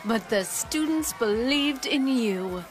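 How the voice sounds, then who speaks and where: Loyal teen monster girl, high lively voice, earnest, heartfelt, cartoon acting. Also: slow pace, faint music behind. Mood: encouraging. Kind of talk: animated children's cartoon acting.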